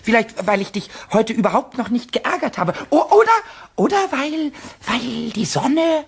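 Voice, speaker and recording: A man playing a small, excitable childlike male kobold in a German radio play, cheeky, easily delighted and stammering over his words. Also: extremely high pitch, normal speed, creaky, husky, full character voice. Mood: eager guessing. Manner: stammering, repeats syllables and words.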